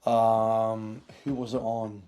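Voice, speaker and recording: A man hosting a casual sports review podcast, his voice hoarse from yelling, halting with many fillers and restarts. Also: on the low side, level tone. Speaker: podcast host.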